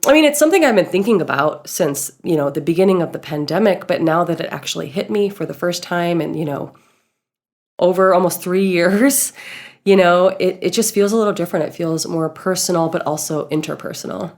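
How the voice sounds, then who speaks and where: Nasal stuffed-up young woman, gravelly fry, lowish voice, playful podcast chat. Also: fairly quick. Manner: playful, giggly, encouraging. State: nasal and congested from illness. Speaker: podcast co-host, healing coach.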